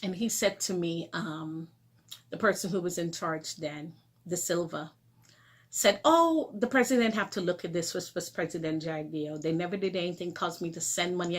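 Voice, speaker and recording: A woman's voice: fairly low pitch, moderate pace. Earnest woman host with a sore throat. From Guyana. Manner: earnest, urging, conversational. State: sore throat, lozenge in mouth. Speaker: show host. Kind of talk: direct-to-camera talk.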